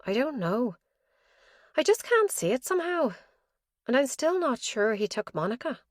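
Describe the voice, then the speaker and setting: A woman voicing a brisk, wry young female detective in an audiobook, lifting her voice and sounding lively. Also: sits high, no echo, clean studio sound, pitched above the performer's own voice.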